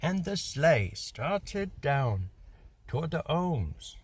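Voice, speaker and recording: Guy reading a rhyming storybook, fake british-aussie accent, really animated and unhurried, faint noise behind. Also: on the high side, slightly rough. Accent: put-on British-Australian. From United States. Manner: theatrical, drawn-out emphasis. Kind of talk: dramatic rhyming storybook narration.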